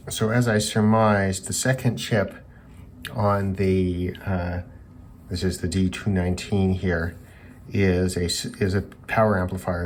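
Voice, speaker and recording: A man's voice: low-pitched, a little mellow, slight background noise. A man giving unscripted commentary as a hobbyist taking electronics apart, musing and tentative as he thinks aloud. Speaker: hobbyist reviewer of radios.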